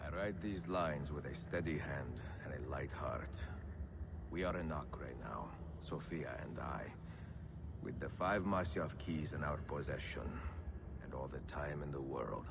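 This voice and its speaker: Game role: older Italian-accented assassin, extremely low gravelly voice, solemn and world-weary. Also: male voice, heavy background noise. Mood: tender, weary. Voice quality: deep, gravelly. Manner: measured, solemn, reflective. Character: aging Italian master assassin, weary, reflective older man. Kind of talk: dramatic video game acting.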